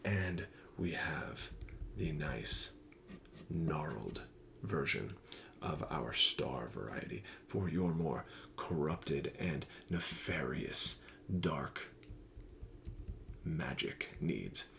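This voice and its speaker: Man as courtly wizarding-village pumpkin salesman, soft ASMR roleplay, partly whispered, unhurried pace, flowery patter, faint background noise. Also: a bass voice, animated, gravelly, heavily acted. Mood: courteous, helpful.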